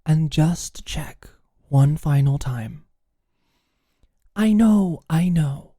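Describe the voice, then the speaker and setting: An actor playing a teasing, caring British office manager in an intimate ASMR roleplay, speaking softly and breathily with a dry manner. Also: mid-range voice, takes it slowly, no echo. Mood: earnest, hesitant. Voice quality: soft, breathy, often near-whispered. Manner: dry, gently teasing, solicitous.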